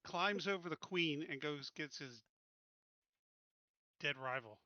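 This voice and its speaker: A man's voice: on the high side, takes it slowly, sharp tone, no echo, muffled recording. A man chatting casually as a player in a tabletop roleplaying game.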